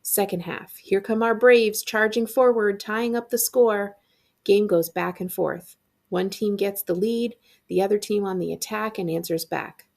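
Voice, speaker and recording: Female reader for middle-grade audiobook-style read-aloud, mid-range voice with plenty of fry, expressive librarian delivery. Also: medium pitch, measured pace. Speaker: librarian reading a book aloud.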